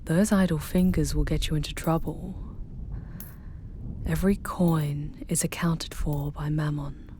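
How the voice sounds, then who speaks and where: A woman playing a charming, protective female demon guide in an intimate ASMR roleplay, with soft breathy delivery and formal, measured diction. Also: low-pitched, fairly even intonation, slight background noise. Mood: hushed, teasing. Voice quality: soft, breathy, often whispery.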